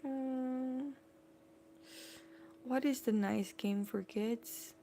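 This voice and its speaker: A woman's voice: high voice, steady tone, drawn-out words, mellow, slight background noise. A woman in her thirties from the Philippines, chatting casually with viewers on a live stream from home and answering their comments. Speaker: live-stream host, mother.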